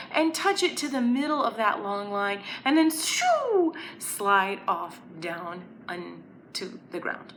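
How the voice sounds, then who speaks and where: Slow, bright, animated woman teaching preschoolers. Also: fairly high pitch. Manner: animated, enunciated, encouraging children to join in. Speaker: children's story time presenter. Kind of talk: preschool lesson with songs and counting rhymes. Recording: roomy echo, faint background noise.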